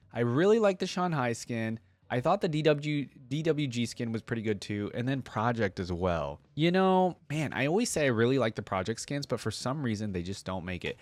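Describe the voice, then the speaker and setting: Man, medium pitch, informal running commentary, thinking aloud, addressing viewers, dry close recording. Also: no room sound. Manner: informal, hesitant deliberating, addresses viewers directly. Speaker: host of a game reaction channel.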